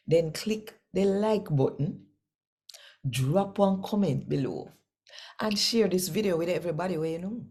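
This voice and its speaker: A woman in her sixties with a Jamaican accent, reading scripture aloud as an expressive storyteller, conversational and emphatic. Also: low-pitched. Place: small indoor room at home.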